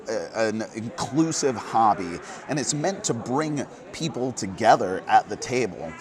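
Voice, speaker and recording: A hoarse man hosting a casual board game news roundup, earnest and quick, getting fired up at times. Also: fairly low pitch. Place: busy convention hall.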